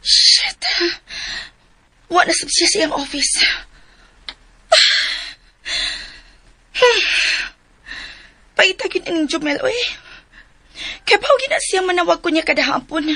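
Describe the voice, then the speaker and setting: A woman's voice: extremely high pitch, animated, measured pace, long pauses, pushed and bright, full character voice. An actress playing a jealous, demanding woman in a melodramatic Philippine radio drama, with a Cebuano Filipino accent. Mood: annoyed.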